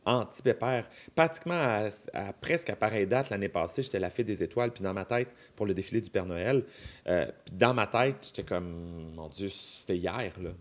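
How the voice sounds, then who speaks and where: A man's voice: lowish voice, brisk. Québécois man, thirties, podcast guest, talks it through with lots of asides. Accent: Québécois French. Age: 30s. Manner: chatty, explains with examples and asides. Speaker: podcast guest, drag performer. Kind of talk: casual chat.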